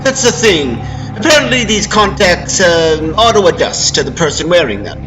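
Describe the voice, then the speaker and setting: A man's voice: high-pitched, big pitch swings, music in the background, pitched above the performer's own voice, rough recording. A man voicing an eager, hesitant optometrist in a story reading, stumbling over ums and uhs. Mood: eager, persuasive. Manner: halting, hedging with ums and uhs. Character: eager, hesitant optometrist pitching experimental lenses.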